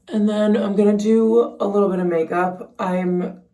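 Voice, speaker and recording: A young woman with a slightly raspy voice chats casually and informally to camera as a vlogger, peppering her talk with "like". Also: mid-range voice, steady tone, moderate pace, mellow, far from the mic. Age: young adult. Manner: chatty, informal, frequent "like". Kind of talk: casual chat to camera while getting ready. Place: indoors at home.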